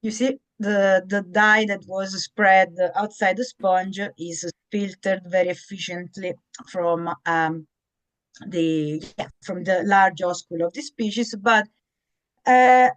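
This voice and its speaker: A woman in her thirties from Italy with an Italian accent, giving an educational slide talk as a marine biologist, with many hesitant pauses and fillers. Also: medium pitch, steady tone, fairly bright tone. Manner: hesitant, frequent "uh" fillers and restarts.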